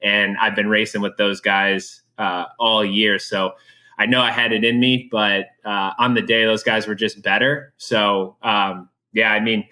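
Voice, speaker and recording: A young American man speaking as a guest in an interview, relaxed and candid, with many filler words. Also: lowish voice. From USA. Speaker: guest, professional triathlete. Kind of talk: casual interview chat.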